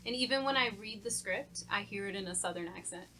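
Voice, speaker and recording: A woman's voice: mid-range voice, brisk, bright, forceful tone, slight background noise. A woman, a voice actor, chatting casually as a podcast guest. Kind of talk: casual chat.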